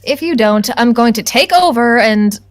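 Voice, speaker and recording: A woman's voice: on the high side, lively intonation, moderate pace, natural voice. A woman voicing a nosy, pushy female demon in a visual novel, with a sassy, slightly Valley Girl manner. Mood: pushy, exasperated.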